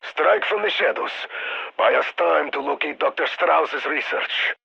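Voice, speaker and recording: A man playing a gruff Russian squad commander in a video game, giving orders with dry, wry asides. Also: a little high, lively intonation, a little slow, pushed and bright, dull audio, full character voice. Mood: commanding, urgent. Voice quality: gravelly, husky. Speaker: mission commander giving radio briefings. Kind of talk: scripted video game voice lines. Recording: band-limited military radio sound.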